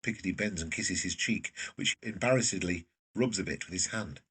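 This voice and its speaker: Male drama narrator, low-pitched and very husky, slightly distant from the mic, scripted scene descriptions. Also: fairly quick, a bit bright.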